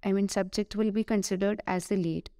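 A woman with an Indian English accent giving a screen-recorded software tutorial, hesitant, with many "uh" fillers and self-corrections. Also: medium pitch, fairly even intonation, a little fast, smooth, no echo, clean studio sound.

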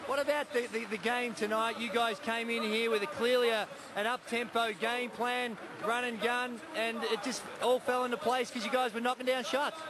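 A man's voice: very high voice, normal speed, hardly any pauses. Middle-aged man interviewing over a roaring crowd. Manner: energetic, raised voice over the crowd. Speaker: courtside sports interviewer. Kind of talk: post-game interview. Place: indoor basketball arena. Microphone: handheld microphone. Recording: loud arena crowd noise.

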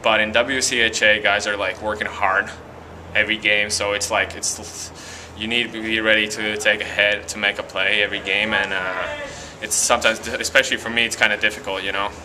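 A young man from Latvia with a Latvian accent, a college hockey player giving a halting post-game interview full of fillers and restarts in an arena hallway. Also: lowish voice, flat delivery, noisy background. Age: young adult.

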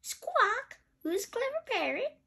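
Woman doing a very high squawking parrot. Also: very animated, slow pace, vocal fry, pushed and bright, heavily acted. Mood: squawking, excitable. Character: squawking female pet parrot, proud repeater. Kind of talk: children's storybook character voice.

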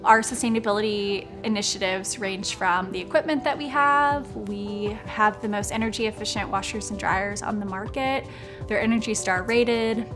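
A woman small business owner speaking in a conversational, earnest way, as in a documentary interview voice-over. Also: mid-range voice. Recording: music bed behind the voice. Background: background music.

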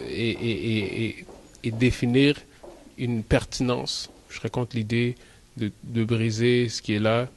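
A man's voice: medium pitch, darkish tone. A man, speaking earnestly and emphatically in a vox pop.

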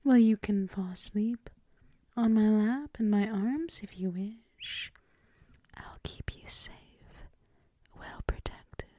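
A woman voicing a seductive, dominant vampire mistress in a breathy, teasing, mostly whispered ASMR roleplay. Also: mid-range voice, dark tone, no room sound, close to an everyday voice. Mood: coaxing, seductive. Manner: breathy, slow, teasing, coaxing. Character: seductive, dominant vampire mistress doting on her pet thrall.

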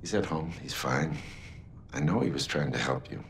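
A middle-aged man acting a concerned, guarded scientist in a video game drama, soft-spoken and measured, with a gravelly voice. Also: animated, heavily acted. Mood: apologetic, grave. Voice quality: gravelly, breathy at phrase ends. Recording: low rumbling background noise.

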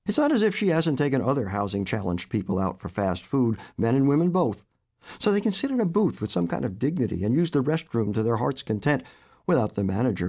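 A man, an author, reading his own short story aloud in an expressive, animated storytelling manner. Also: mid-range voice.

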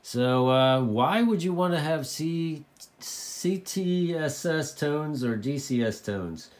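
A man's voice: fairly high pitch, animated, takes it slowly. A man informally explaining and demonstrating a product, repeating phrases for emphasis. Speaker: presenter of a radio tutorial. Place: indoors at a desk.

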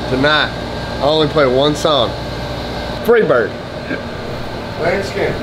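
A man's voice: on the high side, expressive, slow pace, background noise. A man trading jokes and profane trash talk with his crew in a garage. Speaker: shop crew member. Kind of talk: casual shop banter.

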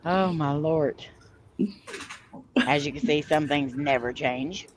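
A woman hosting a live stream over a video call, telling stories in a chatty, animated way and laughing as she goes. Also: low voice, slow, slight background noise. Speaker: host of a paranormal live stream. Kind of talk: casual storytelling chat. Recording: slightly muffled video-call audio.

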